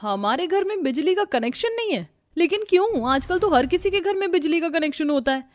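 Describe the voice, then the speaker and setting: A woman voicing a young, fretful daughter-in-law in a family cartoon, with an expressive delivery. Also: extremely high pitch, brisk. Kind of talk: Hindi family cartoon acting.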